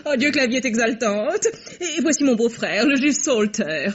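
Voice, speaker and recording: A woman's voice: on the high side, animated, moderate pace, husky. An actress playing a theatrical, effusive middle-aged society mother in an old French radio drama. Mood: gushing. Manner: effusive, affected, exclaiming. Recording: dull vintage radio recording.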